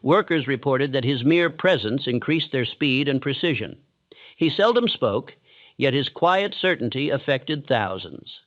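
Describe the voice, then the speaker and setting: A man reading scripted self-help narration for sleep in a calm, steady, measured rhythm, with a solemn, formal delivery. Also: mid-range voice, no echo, muffled recording. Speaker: audiobook-style narrator.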